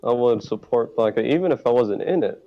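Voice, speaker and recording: A man answering as a guest on a roleplay talk show, speaking haltingly and searching for words. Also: mid-range voice, animated. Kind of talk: in-character roleplay interview. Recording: muffled lo-fi mic.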